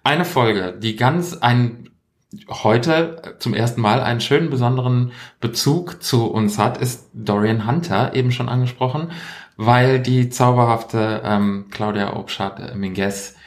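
A man's voice: mid-range voice, a little slow. A man hosting a casual podcast chat, playfully quizzing his co-hosts and reading out answer options. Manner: playful, reads out quiz options. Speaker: podcast host, quiz master.

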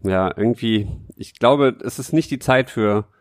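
A man co-hosting a podcast in an informal, halting way, searching for his words as he chats. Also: mid-range voice, no echo, clean studio sound. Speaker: podcast co-host. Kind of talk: casual chat.

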